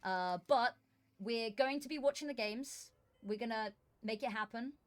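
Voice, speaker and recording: A woman's voice: fairly high pitch, normal speed, slight background noise. Woman, worn voice, muttery between chatty asides, casual live-stream chat, desk mic. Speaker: esports streamer and commentator. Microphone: desk microphone.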